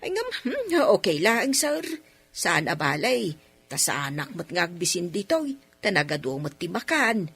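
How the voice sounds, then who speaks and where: A woman's voice: fairly low pitch, big pitch swings, unhurried, a bit bright, breathy edge, full character voice. A woman playing a folksy, loyal household nanny in an Ilocano radio drama, exclaiming expressively with an Ilocano accent. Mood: meek, questioning. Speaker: voice actor in radio comedy drama.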